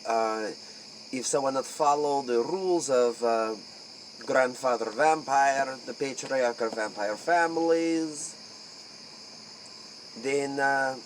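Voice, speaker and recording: Man acting a slow, halting Transylvanian vampire. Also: mid-range voice, natural voice, poor audio. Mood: explaining, halting. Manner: halting, repetitive broken English. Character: solemn Transylvanian male vampire from elite ancient clan. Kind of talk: improvised spoof interview role play. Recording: faint noise, chirping insects.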